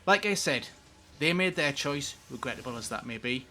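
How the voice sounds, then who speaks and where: An actor playing a villainous facility administrator in an audio drama, courteous, condescending and unrepentant. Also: male voice, mid-range voice, lively intonation, normal speed, bright, forceful tone, slight background noise. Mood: cold condescension. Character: smooth, coldly polite male villainous facility administrator.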